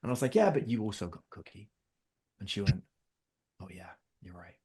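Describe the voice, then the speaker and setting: A man's voice: on the low side, lively intonation, a little fast, mellow, slightly rough. A middle-aged man telling family stories in casual conversation, animatedly acting out his own lines and his children's. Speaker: podcast guest.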